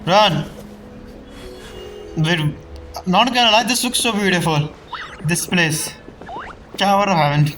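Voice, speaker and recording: A man's voice: sits high, lively intonation, slow pace, long pauses, fairly bright tone, faint music behind. A man casually reacting to a game as he plays, as a streamer. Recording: clearly noisy background.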